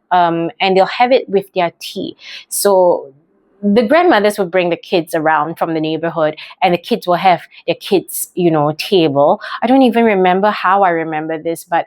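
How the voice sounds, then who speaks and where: A woman's voice: lowish voice, dry recording. A young Malaysian woman with a Malaysian accent, chatting casually about her life with rambling, self-correcting asides. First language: English. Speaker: vlogger. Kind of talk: casual personal storytelling.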